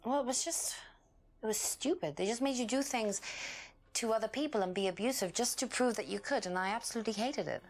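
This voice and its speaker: Guarded young witness, hesitant. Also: female voice, on the high side, brisk, soft background music. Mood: guarded, disdainful. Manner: hesitant, evasive, defensive. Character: guarded young female witness, uneasy former band singer. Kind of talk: acted dialogue in an FMV mystery game.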